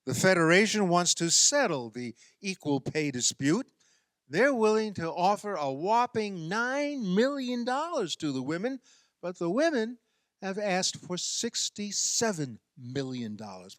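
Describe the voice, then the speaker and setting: A male minister preaches slowly and deliberately, with a fairly high voice that swings widely in pitch. His oratory is emphatic and measured, laced with wry humour. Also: very animated, a bit bright, no echo, studio quality. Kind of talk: sermon.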